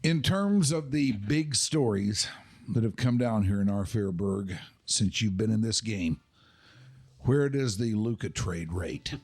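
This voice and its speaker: A man's voice: lowish voice, expressive, unhurried, darkish tone. An older man hosting a podcast, talking sports in a casual interview style. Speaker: podcast host.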